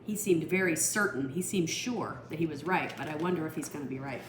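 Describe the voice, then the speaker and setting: A woman reading a picture book aloud for children, expressive and explanatory, with teacherly asides. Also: low-pitched. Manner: explanatory, expressive, pausing to define words. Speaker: storytime reader. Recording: echoey room with faint noise.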